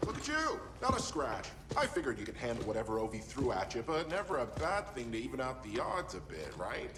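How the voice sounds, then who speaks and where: An actor playing a cocky, sarcastic hacker in a cyberpunk video game, with a brash, slangy way of talking. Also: male voice, mid-range voice, wildly swooping pitch, brisk. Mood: cocky, teasing. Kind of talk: video game character acting. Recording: noisy ambient background.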